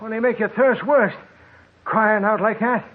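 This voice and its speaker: A man playing a stubborn, level-headed desert traveler in old radio drama style, gruff, insistent and folksy. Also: sits high, fairly even intonation, unhurried. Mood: exasperated. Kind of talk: 1940s radio drama acting. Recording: muffled, tinny old radio recording.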